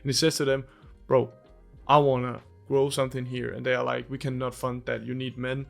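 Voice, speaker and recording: Young Danish guy, gravelly, rambly casual host, restarts a lot, faint music bed. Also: medium pitch, little pitch movement, fairly quick. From Denmark. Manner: rambling, searches for English words, many restarts. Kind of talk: casual movie review.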